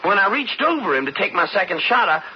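An actor playing a nervous, deferential young stagecoach guard in a radio western, hesitant and stammering. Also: male voice, sits high, big pitch swings, quick pace. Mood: flustered, apologetic. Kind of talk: radio western drama acting. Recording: dull, lightly noisy old radio broadcast.

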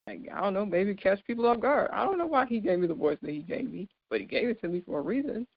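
A woman in her thirties with a deep, low voice, giving an informal, earnest devotional sermon and laughing lightly between points. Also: lively intonation, fast, gravelly, husky, no echo, highs cut off. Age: 30s. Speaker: speaker giving a devotional talk.

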